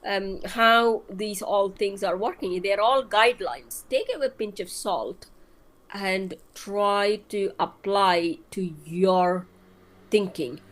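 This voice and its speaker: A woman's voice: medium pitch, takes it slowly, poor audio. A woman speaking English as a second language, giving casual advice in an emphatic, explanatory way and repeating her points. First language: not English.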